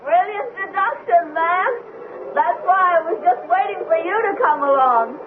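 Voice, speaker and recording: Very high-voiced plucky, wry woman. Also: animated, a little slow. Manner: teasing, quippy. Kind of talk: radio drama acting. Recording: noisy, phone-like old radio recording.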